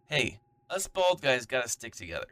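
Bright-voiced man as a pompous fussy storyteller. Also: medium pitch, sing-song, normal speed. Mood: chatty. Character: pompous, fussy bald storyteller, a preening diva. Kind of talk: comedic fan radio drama acting.

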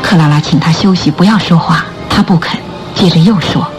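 A woman's voice: on the low side, brisk. A woman narrating a radio drama in a scripted, dramatic storytelling style. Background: background music.

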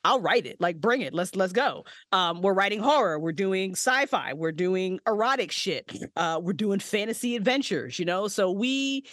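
A woman guest on a casual podcast talks bluntly, swearing freely and getting animated about her projects. Her voice sits in a middle range and sounds dry and close to the mic. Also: medium pitch, normal speed, hardly any pauses, no echo. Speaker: podcast guest, audio drama creator. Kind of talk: casual interview chat.

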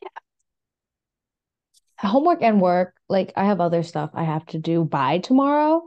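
A woman's voice: fairly low pitch, big pitch swings, normal speed, highs cut off. A young woman co-hosting a podcast in a casual, playful chat, with a slightly runny nose. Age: young adult. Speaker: podcast co-host.

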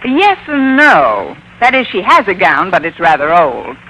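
Give this the sound of a vintage radio comedy with faint noise. a wisecracking American woman schoolteacher, long-suffering and dryly sardonic. Her voice is forceful and bright, with lively swings in pitch. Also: measured pace, full character voice, poor audio. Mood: wry. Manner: dry, sardonic delivery. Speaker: lead actress and narrator. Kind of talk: 1940s-50s radio comedy acting.

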